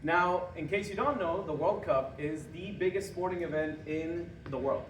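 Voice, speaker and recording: A man's voice: a little high, moderate pace. Young male presenter from Honduras, playful and quizzing the crowd, recorded from the back of a noisy room. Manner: joking, quizzing the audience, chuckling at own jokes. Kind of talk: lively interactive talk to a live audience. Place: hall with a live audience. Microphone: room mic from a distance. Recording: echoey hall recorded from far off, background noise.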